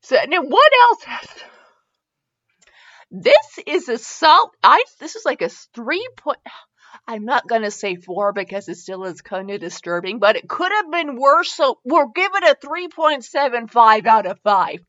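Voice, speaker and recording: High-pitched, animated, giggly woman podcaster. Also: lo-fi sound. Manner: giggly, frequently breaking into laughter; rambling, halting self-interrupted delivery. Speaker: podcast host. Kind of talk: casual humorous review chat.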